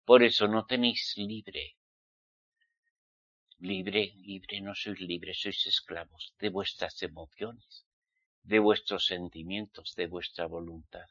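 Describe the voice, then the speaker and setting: Spanish guy from spain preaching, slow and emphatic, sharp forceful voice, scolding, kinda lo-fi audio. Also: low-pitched, steady tone, no room sound. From Spain, Basque Country. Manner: emphatic, admonishing, rhetorical questions. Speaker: religious preacher. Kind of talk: fiery sermon addressed to listeners.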